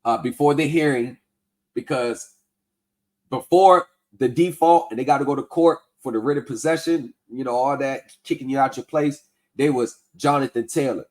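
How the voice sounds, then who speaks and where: A man's voice: mid-range voice, normal speed, darkish tone. A man hosting a livestream, casually walking through a tax form and skeptically picking apart bad advice. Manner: conversational, skeptical, reads form text aloud. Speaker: livestream host debunking scams. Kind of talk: casual explanatory commentary.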